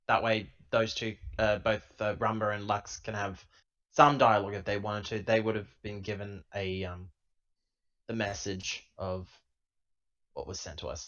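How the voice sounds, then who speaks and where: A man's voice: on the low side, fairly bright tone. A man talking casually as a player in a tabletop game, on a muffled home recording.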